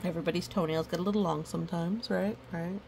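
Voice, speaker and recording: A woman's voice: fairly low pitch, fairly even intonation, quick pace, vocal fry, darkish tone, a little hiss or hum. A woman reading a picture book aloud with playful, chatty asides to the characters and listeners. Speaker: storytime reader.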